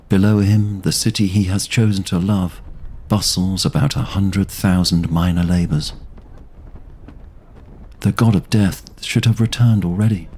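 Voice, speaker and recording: A man narrating a scripted fantasy audio drama in a measured, literary storytelling manner. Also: sits low, a little mellow, husky.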